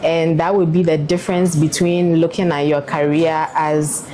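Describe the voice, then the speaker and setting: A woman's voice: low-pitched, level tone, normal speed, mellow. A woman air traffic controller interviewed about her career, speaking earnestly and reflectively with frequent fillers.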